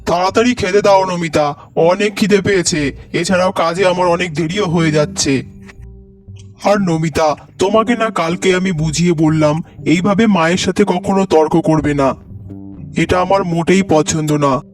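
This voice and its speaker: Man acting a high-voiced stern young husband. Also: moderate pace, soft background music, close to an everyday voice. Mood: annoyed, admonishing. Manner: admonishing, firm. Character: stern young husband siding with his mother. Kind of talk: cartoon voice acting.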